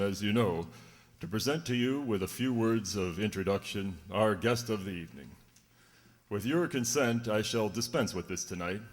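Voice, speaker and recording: An actor playing a formal radio narrator and host, measured and oratorical in an old-time scripted style. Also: male voice, fairly low pitch, close to an everyday voice. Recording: echoey hall, long reverb.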